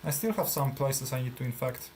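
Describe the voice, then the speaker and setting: A man with a Polish accent talks through his gameplay at a relaxed, middling pitch. He thinks aloud, trailing off with fillers and restarts. Faint background noise and keyboard typing sit under his voice. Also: fairly quick. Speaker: gameplay streamer. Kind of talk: casual live gameplay commentary.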